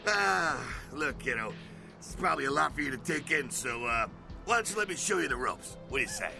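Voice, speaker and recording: An actor playing a brash, streetwise vampire mentor in a video game, gravelly, slangy and swaggering, with a rough jovial streak. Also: male voice, a little high, sing-song, brisk. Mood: amused, inviting. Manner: slangy, folksy, swaggering, swears. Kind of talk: video game character acting. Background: music.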